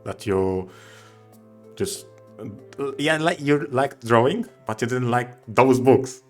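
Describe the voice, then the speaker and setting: A man co-hosting a podcast, casually interviewing and recapping points in a halting way. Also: medium pitch, animated, soft background music. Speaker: podcast co-host.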